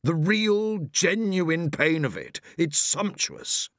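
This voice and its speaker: A man voicing a smart old gentleman, a literary agent who savours his effusive praise, in an audiobook. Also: on the high side, animated, slow pace, no echo, studio quality, voice pushed up. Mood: relishing.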